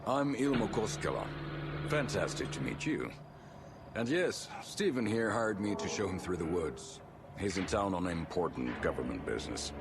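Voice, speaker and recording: An actor playing a jovial, folksy small-town tour guide, chatty and showy in a video game. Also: male voice, low-pitched, sing-song, moderate pace, loud music behind the voice. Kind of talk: video game character acting.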